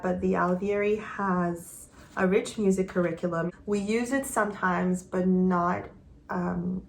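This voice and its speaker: A woman in her thirties from Quebec, a homeschooling mother giving a casual, gentle update that sometimes rambles while she searches for words. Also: fairly low pitch, creaky, a little hiss or hum. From Quebec, Canada.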